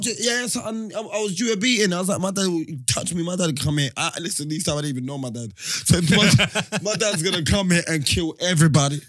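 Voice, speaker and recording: A man with a high voice jokes and laughs his way through loose podcast banter. He tells stories with animated energy, and his voice sounds dry and close to the mic. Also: no room sound. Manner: animated, jokey, laughs often.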